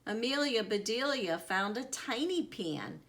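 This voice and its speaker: Woman, children's storyteller, bright voice, slow deliberate delivery, expressive emphasis. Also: fairly high pitch. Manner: deliberate, animated, with dramatic emphasis. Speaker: storyteller reading a children's book aloud. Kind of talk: expressive read-aloud narration.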